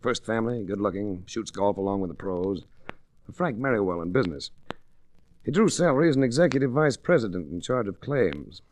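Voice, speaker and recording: An actor playing a wry, laconic adventurer detective, narrating and talking in hard-boiled 1940s radio style. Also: male voice, lowish voice, expressive, a little fast, a little mellow, lightly acted. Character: wry, cool male ex-attorney adventurer detective. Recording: noisy old 1940s radio recording.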